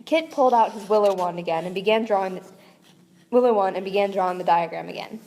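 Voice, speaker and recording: A woman's voice: mid-range voice, quick pace, darkish tone, a little hiss or hum. Casual woman reader, mid-range voice, chatty aside-prone read-aloud with a lingering reverb. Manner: chatty, with frequent fillers and rereads. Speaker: amateur audiobook reader.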